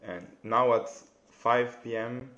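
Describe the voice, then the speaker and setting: A young man with an Italian accent, hosting a live interview over a video call, friendly and halting, with many fillers. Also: mid-range voice, a bit bright. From Italy. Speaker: interviewer, bassoonist. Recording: dull lo-fi video call audio.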